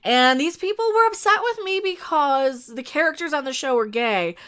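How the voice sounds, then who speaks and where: A woman's voice: high voice, lively intonation, normal speed, bright, forceful tone. A sniffly woman hosting a casual live stream, rambling bluntly with restarts and asides to her chat.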